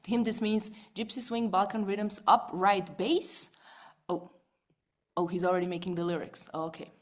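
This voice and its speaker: Lively woman presenting a webinar. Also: mid-range voice, moderate pace. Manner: lively, repeats words, asks listeners "right?". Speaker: webinar presenter and vocal coach. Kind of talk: live online webinar demo.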